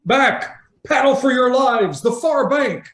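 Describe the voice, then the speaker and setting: A man's voice: extremely high pitch, expressive, slow, a bit bright, exaggerated voice. A man playing a stern, commanding ranger in a fantasy table read, solemn and dramatic. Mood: urgent command.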